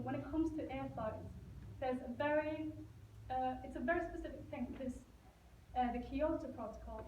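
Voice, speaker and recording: A woman's voice: high-pitched, a little mellow. A young woman from Norway giving a student competition presentation to a live audience, earnest and stopping now and then to ask the audience questions. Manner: earnest, asks the audience questions, some restarts. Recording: echoey room, voice from a distance, dull lo-fi sound, faint hiss.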